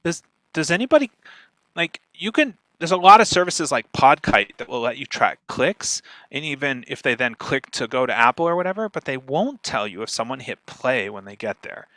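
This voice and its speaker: A man's voice: mid-range voice, sing-song, moderate pace, bright, forceful tone, dry recording, low-quality recording. A man speaking as a guest expert, explaining things casually and emphatically, often checking in with the listener. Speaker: guest speaker, podcast marketer.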